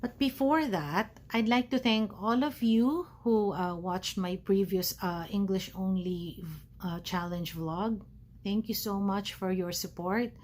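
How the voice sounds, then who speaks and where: A woman from the Philippines with a Filipino accent, talking casually to camera at home while recovering from asthma, pausing often with fillers. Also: medium pitch, darkish tone. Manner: hesitant, many fillers. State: recovering from asthma, feeling cold. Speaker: vlogger. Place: at home, wrapped in a blanket.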